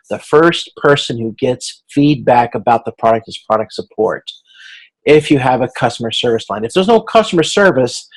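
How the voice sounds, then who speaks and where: A man giving an explanatory online lecture as a college instructor, speaking in a measured, semi-improvised way and often repeating words as he goes. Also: medium pitch, gravelly, rough recording. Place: video conference recording.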